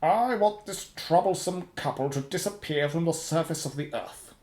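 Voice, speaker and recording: An actor in a radio play voicing a menacing, sardonic boss of a shady firm, clipped and commanding. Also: male voice, a little high, normal speed, a little mellow, echoey room, lightly acted. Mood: cold menace.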